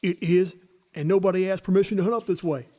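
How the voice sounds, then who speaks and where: An actor playing an alert, wary country hunter in a radio drama. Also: male voice, high voice, fairly even intonation, fast talker, lightly acted. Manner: hushed, urgent, folksy.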